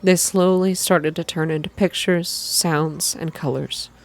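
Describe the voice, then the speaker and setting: A woman reading a story aloud in a calm, slow, hushed ASMR style. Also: lowish voice, slight background noise. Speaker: reader of a visual novel for an online channel.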